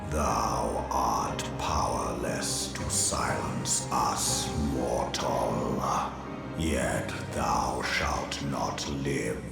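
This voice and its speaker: An actor voicing an ancient, colossal dragon in a video game, proud and menacing, with a booming gravelly voice and archaic, imperious speech. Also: male voice, fairly even intonation, very slow, exaggerated voice. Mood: menacing, vengeful. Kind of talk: theatrical video game voice acting. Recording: cavernous echo, distant, loud music.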